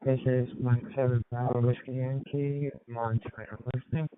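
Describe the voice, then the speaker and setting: A man from the United Kingdom talking in a measured, matter-of-fact way as an amateur radio operator over a radio link. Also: medium pitch, flat delivery, smooth, no echo. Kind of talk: radio check-in and chat. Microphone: digital ham radio transmission. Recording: thin phone-like radio link.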